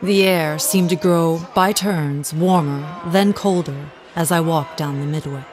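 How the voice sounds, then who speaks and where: An actress playing a resentful, well-to-do wife, narrating formally and dramatically in an audio drama. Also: female voice, low voice, steady tone, measured pace, music in the background. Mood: bitter, ominous. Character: resentful, well-to-do wife recounting her confession.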